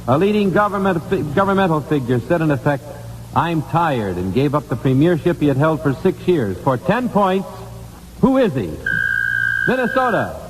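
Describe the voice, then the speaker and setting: An American man works as quizmaster on a 1950s radio show. His voice sits a little high and swings widely in pitch. It rings through a large hall on an old broadcast recording with light noise. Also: very animated, normal speed. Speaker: quiz show host. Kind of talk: 1950s radio quiz hosting.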